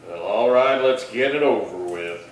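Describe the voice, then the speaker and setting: Radio western lawman male actor: laconic, steady, unhurried authority, distant and heavily reverberant with faint noise. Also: on the low side, close to an everyday voice. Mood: calm, firm. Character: steady, watchful male US Marshal of the Old West. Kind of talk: old-time western radio acting. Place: little theatre stage, distant mic. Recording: very echoey hall, far from mic, faint noise.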